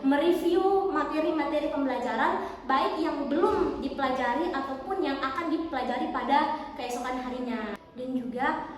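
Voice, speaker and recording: Young woman, high voice in heavy echo. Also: moderate pace. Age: teens or young adult. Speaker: podcast guest, student book co-author. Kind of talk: explanatory interview answers. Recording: very echoey, reverberant hall.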